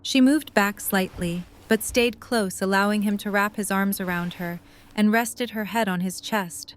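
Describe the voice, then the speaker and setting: A woman narrator with a medium-pitched voice reads scripted prose for an audio drama. She tells the story between the characters' lines. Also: measured pace, a little hiss or hum.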